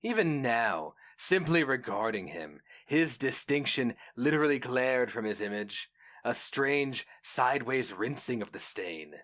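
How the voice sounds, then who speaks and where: A man giving a solemn, theatrical and deliberate dramatic reading of a fantasy story. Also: medium pitch, expressive, a bit bright. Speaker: narrator.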